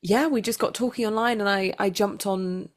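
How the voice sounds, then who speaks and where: A woman's voice: medium pitch, steady tone, moderate pace. A young adult woman chatting casually as an actor being interviewed, giggly and self-deprecating.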